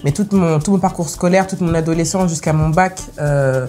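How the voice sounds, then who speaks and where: A young woman with a Parisian French accent, chatting as an interview guest, lively, laughing often and cutting in on her partner. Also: sits low, normal speed. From France. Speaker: guest, content creator. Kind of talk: casual interview chat.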